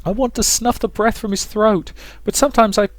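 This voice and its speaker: A man voicing a distraught, fragile young woman close to madness, speaking haltingly in a visual novel. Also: high-pitched, fairly even intonation, no echo, pitched above the performer's own voice, natural voice. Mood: anguished, desperate. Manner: halting, repeating words.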